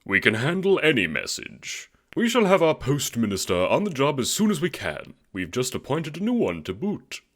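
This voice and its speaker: A man's voice: mid-range voice, wildly swooping pitch, normal speed, few pauses, pitched above the performer's own voice. A man voicing a polite, apologetic male alien palace guard, formal and deferential, in an audio drama. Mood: eager, officious. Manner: formal, deferential, eager to please. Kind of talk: audio drama character acting.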